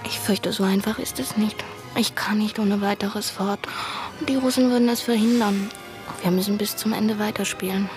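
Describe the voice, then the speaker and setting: A woman's voice: medium pitch, fairly even intonation, normal speed, music in the background, natural voice. Actress as an earnest female spy in love. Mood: anxious, hushed. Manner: earnest, intense, at times dropping to breathy whispers. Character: desperate female spy in love, pleading and persuading. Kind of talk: German radio drama acting.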